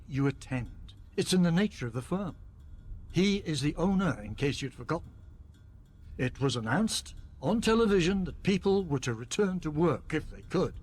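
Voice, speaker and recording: A man's voice: fairly high pitch, husky. A man playing a loyal, resigned company employee in a radio play, terse and matter-of-fact. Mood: curt, defensive.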